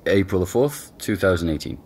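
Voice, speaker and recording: Audio drama: laid-back young man, deep, halting, wry. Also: husky, full character voice. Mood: casual. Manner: relaxed, joking, hesitant with fillers. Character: easygoing young man, boyfriend who owns the house.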